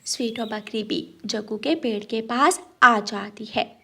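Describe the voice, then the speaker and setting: High-pitched woman storyteller. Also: slow, creaky, a bit bright. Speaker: narrator. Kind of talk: scripted children's story narration.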